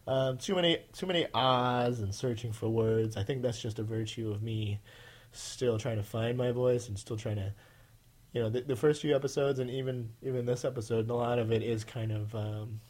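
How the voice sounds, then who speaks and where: A man's voice: mid-range voice, normal speed, darkish tone. A man hosting a casual podcast, with a nasal voice, rambling with many fillers and restarts. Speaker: podcast host.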